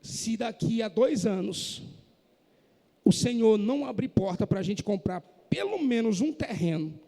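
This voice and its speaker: A man preaching a sermon in a church, impassioned and rising to shouts, from northeastern Brazil. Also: extremely high pitch, vocal fry. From Northeast Brazil, Maranhão. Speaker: pastor. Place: church hall. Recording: echoey church hall.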